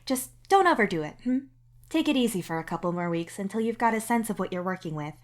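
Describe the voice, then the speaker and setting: A woman voicing a friendly alien doctor in a podfic, measured, professional and reassuring. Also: mid-range voice, expressive, lightly acted. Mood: reassuring, light.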